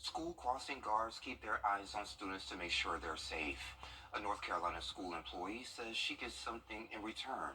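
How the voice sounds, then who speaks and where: Low-voiced male news anchor. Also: lively intonation, normal speed, sharp tone, distant mic, a little hiss or hum, low-quality recording.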